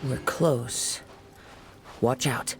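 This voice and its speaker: A man voicing a calm, watchful young scout in a video game, measured and serious. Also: medium pitch, soft background music. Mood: alert, guarded.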